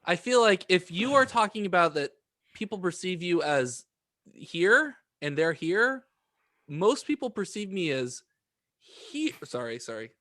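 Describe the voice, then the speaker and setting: A man's voice: high voice, big pitch swings, a little slow, pushed and bright, no room sound, clean studio sound. A young adult man in casual podcast chat who rambles and stumbles over his words, putting himself down as he goes. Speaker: podcast co-host.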